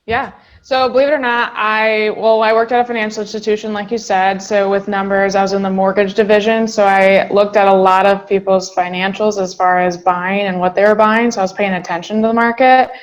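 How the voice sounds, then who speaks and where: Female interview guest, brisk and chatty, mid pitch, dull lo-fi recording. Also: level tone. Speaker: guest real estate agent. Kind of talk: podcast interview.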